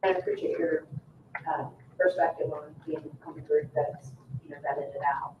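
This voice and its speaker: A board member thinks out loud at a meeting, halting and informal. The sound is echoey and picked up from across the room, with faint noise behind. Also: medium pitch, fairly even intonation, dull audio. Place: indoor public meeting room. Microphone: distant room microphone. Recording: roomy, distant, faint noise.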